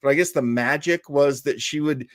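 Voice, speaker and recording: A man's voice: fairly high pitch, moderate pace, no echo, studio quality. A middle-aged man hosting a live show, chatting casually and playfully with his viewers in a jokey, easygoing way. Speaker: host of a live old-time radio listening show.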